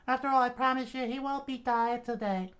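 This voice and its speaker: A woman voicing an urgent young female fighter in a much higher voice. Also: steady tone, fairly quick, lightly acted.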